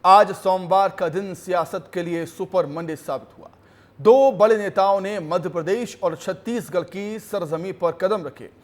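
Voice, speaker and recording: A man's voice: high voice, a little slow. A man presents television news in an emphatic, declarative, scripted anchor style.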